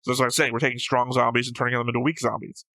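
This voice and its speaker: Male co-host for casual analytical talk. Crisp-edged bright tone, close dry sound, methodical explainer who argues each point. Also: mid-range voice, little pitch movement, rapid-fire, dry recording. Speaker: reaction show co-host.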